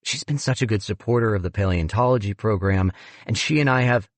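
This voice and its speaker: A man's voice: fairly low pitch, brisk, no room sound, voice pushed up, natural voice. A man voicing an earnest, frustrated male paleontologist who talks politely and pleads. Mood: earnest pleading.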